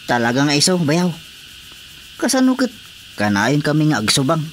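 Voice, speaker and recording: A man's voice: on the high side, huge pitch swings, normal speed. A man playing a disgruntled, jealous husband who grumbles and complains in an Ilocano-accented radio drama comedy. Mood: complaining. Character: disgruntled husband jealous of his wife's cat. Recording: clearly noisy radio drama recording.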